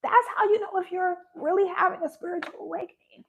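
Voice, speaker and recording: A woman's voice: extremely high pitch, fairly even intonation, quick pace, gravelly, dull audio, heavy background noise. A woman who is losing her voice talks casually and earnestly about spiritual matters on a live stream, repeating herself and laughing often. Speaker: spiritual vlogger. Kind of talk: casual spiritual livestream talk.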